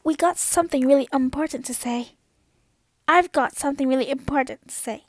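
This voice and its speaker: A woman's voice: sits high, fairly quick, dry recording, natural voice. A young woman voicing an earnest, anxious young female cartoon pony in an urgent, emphatic way. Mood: urgent warning. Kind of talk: amateur cartoon voice impression.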